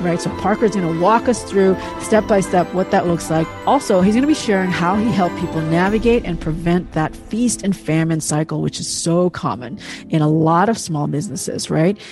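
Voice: mid-range voice, moderate pace. A podcast host giving an upbeat, enthusiastic introduction of the guests, full of fillers and "right?". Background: background music.

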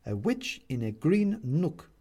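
A man's voice: a little high, sing-song, takes it slowly, warm tone, pitched above the performer's own voice, full character voice. A man voicing a friendly, slightly embarrassed woman neighbour in an audiobook story. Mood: gentle, slightly embarrassed.

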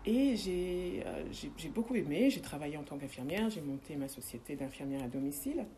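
A woman in her forties with a low voice and a French accent talks in a casual interview. She stops and restarts a lot and repeats words as she looks for what to say. A faint hum of ambience sits behind her. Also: expressive, a little fast, mellow. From France. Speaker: guest, ritual practitioner and former nurse.

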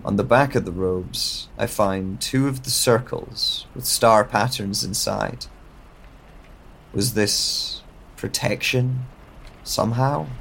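An actor playing a confused man with no memory in an audio drama, narrating in the first person in a measured, pensive, halting way. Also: fairly low pitch, creaky, a little hiss or hum. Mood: puzzled. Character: confused amnesiac man waking alone, searching for answers.

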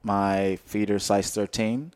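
A young man with a slightly low voice, hosting a casual podcast chat. He rambles, restarts his sentences and keeps things joking and loose. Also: fairly even intonation, slow, smooth. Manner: rambling, self-interrupting, joking. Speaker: podcast host.

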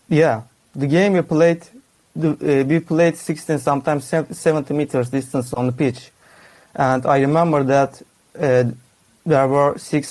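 A man, a football fan guest, giving a measured, subdued, detailed analysis of his team's poor games. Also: mid-range voice, darkish tone. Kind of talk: analytical casual chat.

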